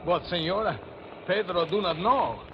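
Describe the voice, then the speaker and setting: Casting: timid boat pilot, Spanish accent, highish voice, deferential broken English, heavy noise over vintage radio audio. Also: male voice, lively intonation, normal speed, gravelly. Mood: timid, pleading. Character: meek, frightened motorboat pilot, servile and loyal. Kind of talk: 1940s radio thriller acting. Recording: muffled, heavily noisy 1940s radio recording.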